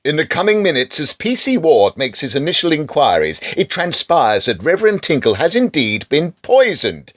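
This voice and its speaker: A man's voice: a little high, big pitch swings, moderate pace, hardly any pauses. A man narrating a comic radio drama as the storyteller of a village murder mystery. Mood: dramatic narration. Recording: loud music under the voice.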